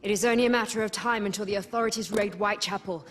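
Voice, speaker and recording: A woman plays a loyal, urgent rebel in a game cutscene. Her medium-pitched voice is earnest and firm, with faint ambience behind it. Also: steady tone, fast, a little hiss or hum, close to an everyday voice. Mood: urgent concern. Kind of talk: dramatic video game cutscene acting.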